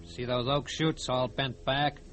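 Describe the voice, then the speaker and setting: A man's voice: mid-range voice, fairly even intonation, measured pace, fairly bright tone, soft background music, lightly acted. An actor playing an observant, grim male investigator who talks in terse observations, in an old-time radio mystery. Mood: grim, intent. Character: observant male investigator grimly reading a crime scene.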